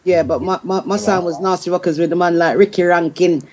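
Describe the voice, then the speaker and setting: A woman's voice: fairly low pitch, steady tone, fairly quick, crowd noise. A middle-aged woman talking casually as a guest artist on a panel.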